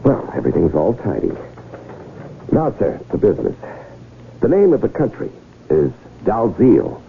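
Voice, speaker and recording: Smooth pushy con man, deep gravelly voice, old radio drama, muffled tape. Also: wildly swooping pitch, full character voice. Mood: ingratiating. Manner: fast-talking persuasive salesman patter, emphatic. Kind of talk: 1970s radio drama acting. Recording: dull, faintly noisy old radio recording.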